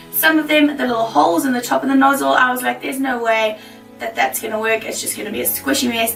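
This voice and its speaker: A woman with a croaky, worn voice chatting casually to camera as a baking vlogger, bubbly and laughing easily. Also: a little high, fairly bright tone, roomy sound, far from the mic, poor audio. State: croaky, losing her voice.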